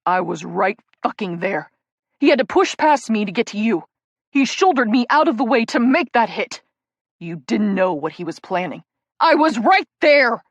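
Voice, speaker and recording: A woman voicing an anguished young male hockey player who shouts and swears through sobs. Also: medium pitch, a little slow, dry recording, natural voice. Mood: anguished shouting.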